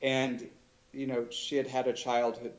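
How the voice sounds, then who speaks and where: Thoughtful, halting man in an interview. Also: medium pitch, little pitch movement, highs cut off. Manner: thoughtful, halting with many restarts and fillers. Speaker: author guest. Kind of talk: casual interview chat.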